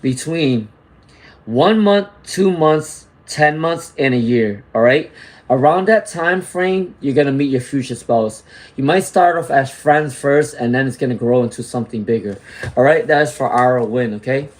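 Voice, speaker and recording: Tarot reader checking in with "all right?". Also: male voice, mid-range voice, normal speed. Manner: reads out viewer comments and greets chatters. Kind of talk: live-streamed tarot reading.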